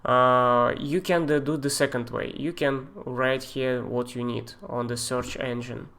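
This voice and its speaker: A man with a Russian accent walks viewers through software on a live stream. He talks in a halting way, with fillers and loose non-native grammar, and his pitch sits in an ordinary middle range. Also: steady tone, gravelly. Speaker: software tutor. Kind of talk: live-streamed screen tutorial.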